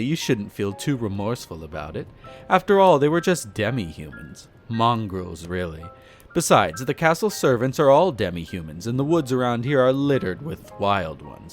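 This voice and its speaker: A man performs an aristocratic male vampire in an intimate roleplay audio drama, with faint music behind him. His middle-register voice moves in lively, theatrical swings. He is flirtatious and smugly amused, condescending to the listener in polished, formal phrasing. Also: mid-range voice, normal speed, natural voice. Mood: amused condescension. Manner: theatrical, leisurely storytelling with teasing, haughty, formal wording.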